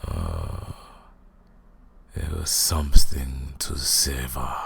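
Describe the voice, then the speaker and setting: A man voicing an arrogant, cruel vampire lord in an ASMR roleplay, with a put-on accent and a slow, mocking, menacing delivery in a gravelly, breathy voice. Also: exaggerated voice. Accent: put-on foreign accent. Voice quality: gravelly, husky, breathy deep voice.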